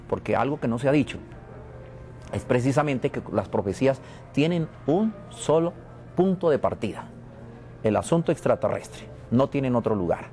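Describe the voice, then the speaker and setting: A man's voice: medium pitch, expressive, moderate pace, dark tone, faint music behind. A man from Venezuela, interviewed about prophecy, speaking in a solemn, repetitive, preaching cadence. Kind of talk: spiritual and prophetic talk.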